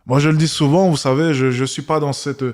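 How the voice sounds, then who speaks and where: A man's voice: fairly high pitch, steady tone, a little fast, a little mellow. Hesitant wry man streaming live. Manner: hesitant, wry, many restarts. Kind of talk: casual live-stream commentary.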